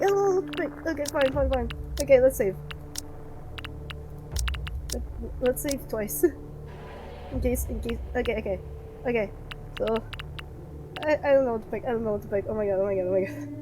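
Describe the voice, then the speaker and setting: A woman's voice: sits high, animated, fairly quick, pauses often, background noise. A woman streaming a horror game, reading aloud and reacting nervously, often repeating herself.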